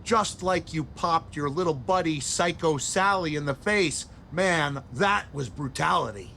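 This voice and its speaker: A man's voice: a little high, lively intonation, a little slow, gravelly, bright, forceful tone, slight background noise, exaggerated voice. A man playing an eccentric, folksy and menacing old-school hardcore wrestler in a video game cutscene. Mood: grim, proud.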